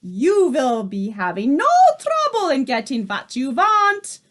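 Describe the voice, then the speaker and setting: A woman reading aloud, voicing the tiny Grand High Witch, a furious and commanding female witch leader who shouts and speaks in odd broken grammar. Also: very animated, takes it slowly, heavily acted. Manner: shouting, shrieking, odd foreign-sounding grammar.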